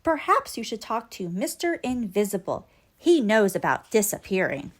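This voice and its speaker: A woman voicing a formal, deliberate male monster who manages a spooky storybook hotel. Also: mid-range voice, sing-song. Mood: puzzled, courteous.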